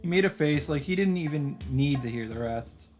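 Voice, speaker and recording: A man's voice: fairly high pitch, animated, normal speed, darkish tone. A man hosting a let's play, reading story narration aloud expressively with occasional casual asides. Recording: music under the voice.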